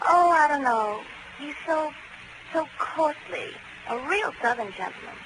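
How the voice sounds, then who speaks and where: A noisy vintage radio recording carries a very high-voiced, coquettish young woman. She coos and purrs her lines in 1940s detective-drama style, swooping up and down as she schemes. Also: animated, a little slow. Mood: coy, airy. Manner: coy, languid, purring endearments.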